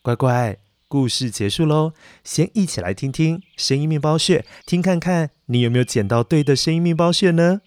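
A man's voice: fairly high pitch, animated, normal speed, hardly any pauses, darkish tone, no echo. A man hosting and narrating a children's story, addressing young listeners in a coaxing, explanatory way. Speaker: children's story podcast host.

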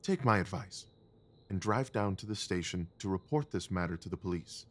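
A man acting a self-assured, decisive detective in an audio drama, speaking with calm, deliberate authority. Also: low-pitched. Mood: reassuring, directing.

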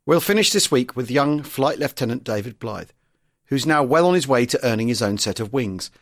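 Genial male host with a lively voice. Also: medium pitch, moderate pace, no room sound, studio quality. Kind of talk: scripted narration.

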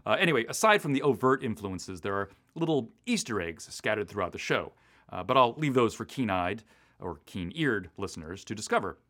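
Animated man chatting about his creative work. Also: on the low side, brisk. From US East Coast. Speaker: audio drama writer being interviewed. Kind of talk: casual, detailed behind-the-scenes chat.

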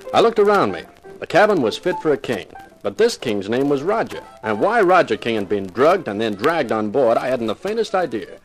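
Male actor as hardboiled private eye, wry and cool under threat, lively intonation, vintage radio thriller style. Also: medium pitch, close to an everyday voice, low-quality recording. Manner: wry, sardonic. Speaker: lead actor and narrator. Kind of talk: 1950s radio crime drama acting, hardboiled narration.

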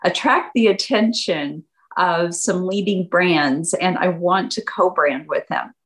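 A woman in her fifties, a guest chatting casually in an interview, animated and acting out what her friends said. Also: slow pace. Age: 50s. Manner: animated, acts out quoted friends in a higher voice. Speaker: guest entrepreneur.

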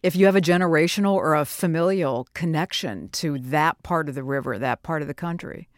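A man's voice: on the high side, fairly quick, no room sound, studio quality. A man hosting a live radio call-in interview, putting questions to guests and callers. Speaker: radio host.

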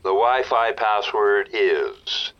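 A man playing a slow, oblivious older husband muttering in the background of a comedy audio drama phone call. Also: on the low side, animated. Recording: muffled, off from the phone.

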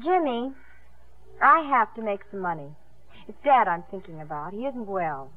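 A woman's voice: mid-range voice, big pitch swings, normal speed. An actress playing a spirited, defiant young woman swimmer in emphatic 1950s radio drama style. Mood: earnest, hopeful. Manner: emphatic, indignant. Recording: muffled, band-limited 1950s radio recording.

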